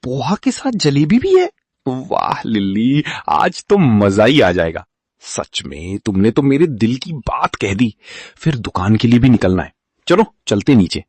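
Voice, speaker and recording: A man acts out a good-natured, simple cartoon husband. His husky voice rises and falls eagerly and innocently over food, in a close, dry recording. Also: mid-range voice, very animated, a little slow, darkish tone, dry recording. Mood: delighted. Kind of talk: cartoon story acting.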